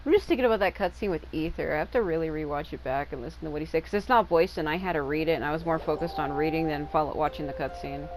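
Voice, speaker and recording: Lowish-voiced woman commenting on gameplay. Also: fast, few pauses, a little hiss or hum, poor audio. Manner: informal, swears freely. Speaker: gaming video creator. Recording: game music behind the voice.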